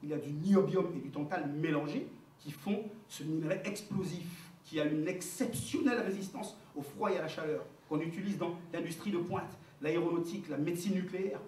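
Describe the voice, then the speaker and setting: A man's voice: fairly high pitch, brisk, a little mellow. Distant, reverberant recording with some noise. Male political orator, Congolese accent, didactic and emphatic delivery. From Democratic Republic of the Congo. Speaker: political speaker, activist. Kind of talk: impassioned political speech to a gathering. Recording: distant, echoey room with background noise.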